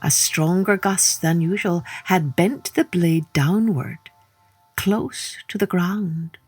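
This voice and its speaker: Slow, theatrical woman storyteller. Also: on the low side. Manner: theatrical, drops to breathy hushes, questions the listener. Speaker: storyteller, podcast host. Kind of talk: dramatic children's storytelling narration.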